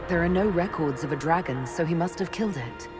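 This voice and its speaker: A woman's voice: lowish voice, lively intonation, a little fast, music in the background, lightly acted. A woman voicing a composed, courteous leader in a video game, polite and direct. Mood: calm, reassuring. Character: composed, courteous female heroine leading an inquisition.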